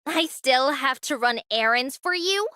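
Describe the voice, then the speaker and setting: High, bright, haughty angel girl. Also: expressive, a little slow, no echo, clean studio sound, exaggerated voice. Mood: petulant. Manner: petulant, boastful, theatrical. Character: haughty, hot-tempered, bratty self-styled angel girl. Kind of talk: scripted anime game dialogue.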